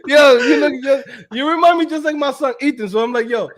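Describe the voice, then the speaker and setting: A man's voice: very high voice, brisk. A man talking as a guest on a casual video chat with another creator, hyped and slangy, laughing often. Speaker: guest, coding course creator.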